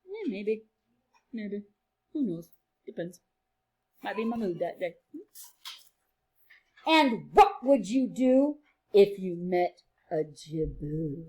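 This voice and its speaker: A woman teacher reading a picture book aloud to children, playful and sing-song, with quieter chatty asides. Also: medium pitch, big pitch swings, takes it slowly, long pauses.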